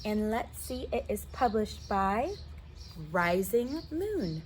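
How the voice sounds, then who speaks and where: A woman's voice: mid-range voice, sing-song, slow pace, darkish tone, slight background noise. An American woman telling a children's story aloud, expressively and addressing her young listeners directly. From southwestern United States desert.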